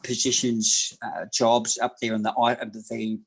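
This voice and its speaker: A man in his sixties from New Zealand, a massage therapist telling his life story in an interview, rambling and halting with many fillers and restarts. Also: mid-range voice, level tone, vocal fry, slight background noise. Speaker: guest, massage therapist and personal trainer. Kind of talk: casual interview talk.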